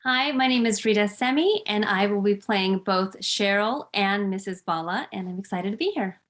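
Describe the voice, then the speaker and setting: A woman's voice: medium pitch, lively intonation, normal speed, a bit bright. A woman actor giving a short, informal introduction of herself and her roles. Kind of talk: friendly brief self-introduction.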